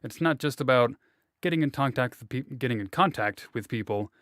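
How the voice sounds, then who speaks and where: A man with an average-pitched voice hosts a relaxed interview. He circles around his questions, stopping and restarting as he works toward the point. The recording is dry and close. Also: brisk, dry recording. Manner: rambling, hesitant, full of fillers and restarts. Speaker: interviewer, host. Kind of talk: casual interview chat.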